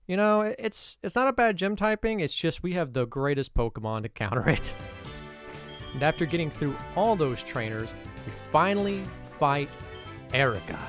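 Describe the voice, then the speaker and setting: A man's voice: mid-range voice, dry recording. Young man ranting over gameplay, dry sound. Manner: casual, swears and rants in sudden excited bursts. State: voice a little off from allergies. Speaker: gaming video host. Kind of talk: let's play commentary.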